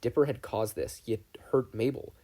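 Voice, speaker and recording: A man's voice: medium pitch, a little fast, mellow. A man reading fan fiction aloud as scripted story narration. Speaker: fan fiction audiobook reader.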